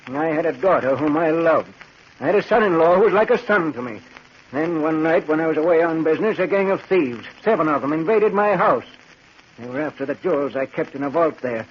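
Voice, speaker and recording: An actor playing a grieving, vengeful old man in a 1940s radio drama, speaking with grave, deliberate intensity. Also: a little high, expressive, husky. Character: grieving, vengeful old man, once wealthy, coldly determined. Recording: muffled, hissy 1940s radio recording.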